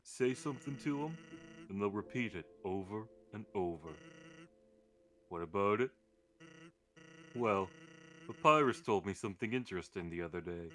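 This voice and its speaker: A man voicing a laid-back, joking skeleton from a video game, with a casual, wry deadpan manner. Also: fairly low pitch, normal speed, long pauses. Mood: pensive. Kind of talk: live character voicing of video game dialogue. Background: game music.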